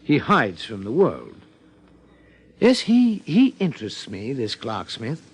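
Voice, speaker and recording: Halting, husky, scholarly psychiatrist. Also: male voice, mid-range voice, huge pitch swings, full character voice. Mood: earnest, absorbed. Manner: halting, formal, deliberate phrasing. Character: earnest, hesitant, scholarly male psychiatrist. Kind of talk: 1950s radio drama acting.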